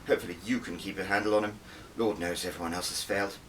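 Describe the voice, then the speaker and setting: A man's voice: deep voice, fairly even intonation, quick pace, fairly bright tone, far from the mic, a little hiss or hum. A man voicing a stern, weary older woman who runs an intelligence office, speaking in a dry, dismissive, commanding way for an audiobook. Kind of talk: audiobook character dialogue.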